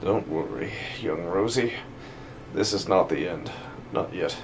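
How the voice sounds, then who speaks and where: Man voicing a slow, frail, low old pastor. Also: animated, noisy background. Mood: weak, reassuring. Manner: slow, halting, solemn. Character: dying old male pastor, gentle solemn mentor. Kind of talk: fan dub of a fantasy game.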